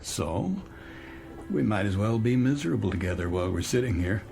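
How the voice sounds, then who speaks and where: Weary, low-voiced American businessman. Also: expressive, vocal fry. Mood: wistful, musing. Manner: hesitant, halting, musing. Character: weary, wistful male office executive longing to escape. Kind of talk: modern radio drama acting.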